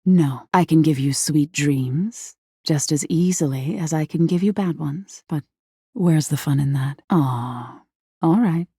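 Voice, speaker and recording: A woman playing a living nightmare spirit in a video game, flirty and menacing yet secretly insecure, with a breathy, teasing delivery that often drops into whispers. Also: low-pitched, unhurried, mellow, no room sound, clean studio sound, exaggerated voice. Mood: sly, ominous. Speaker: voice actor in a dating simulator game. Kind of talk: intimate theatrical video game acting.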